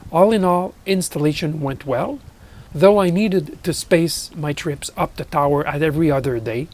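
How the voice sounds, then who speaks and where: A man's voice: on the high side, moderate pace, darkish tone. A middle-aged man from Canada narrating a hobby project vlog as an amateur radio operator.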